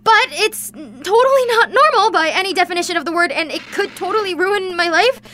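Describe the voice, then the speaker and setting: Anxious, sardonic high-voiced young woman. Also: lively intonation, fairly quick, bright, forceful tone, full character voice. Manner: guarded, sardonic, informal. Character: anxious, sarcastic, stubborn young woman with superpowers. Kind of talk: modern audio drama acting.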